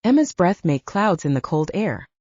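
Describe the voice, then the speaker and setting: A woman's voice: on the low side, very animated, normal speed, a little mellow, slightly rough, dry recording, lo-fi sound. A woman narrating a scripted novel as an audiobook reader.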